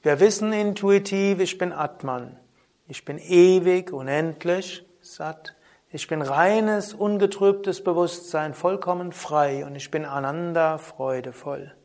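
A man teaching a spoken lesson on yoga philosophy in a calm, measured way, often asking a soft "hm?". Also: fairly high pitch. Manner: calm, measured, with frequent soft trailing drops and questioning "hm?". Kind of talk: spoken lesson on Vedanta terms.

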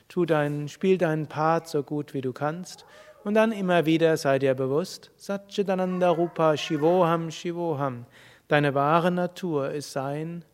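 A man giving a calm spiritual talk to a live audience, speaking in a measured way with pauses and thoughtful hums. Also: on the high side, big-room sound. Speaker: spiritual teacher.

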